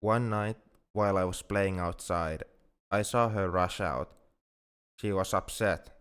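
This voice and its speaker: A man's voice: low voice, measured pace, fairly bright tone, smooth. A man playing a shy, self-blaming young male alien in an ASMR roleplay, speaking in a hesitant, earnest way. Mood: wistful, sad. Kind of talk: ASMR roleplay audio drama.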